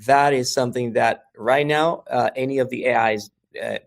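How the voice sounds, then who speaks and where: Gravelly Mexican American man. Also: mid-range voice, fairly even intonation, normal speed. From New York, USA. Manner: frequent "right?" and "uh" fillers, restarts. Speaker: guest, language educator. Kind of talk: casual interview talk.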